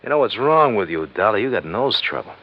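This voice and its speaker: A man's voice: fairly low pitch, very animated, fairly quick. Surly tough guy, muffled old radio. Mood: threatening. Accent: American. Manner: gruff, threatening, slangy. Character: menacing, defensive male bar owner, surly tough guy. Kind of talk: 1950s American radio crime drama acting. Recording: muffled 1950s radio recording.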